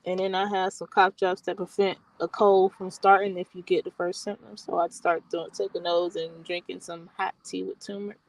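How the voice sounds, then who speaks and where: A woman's voice: lowish voice, level tone, moderate pace, creaky, few pauses, low-quality recording. A tired, slightly stuffy woman, rambling casually in a late-night chat. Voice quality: soft voice. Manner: soft-spoken, rambling with restarts.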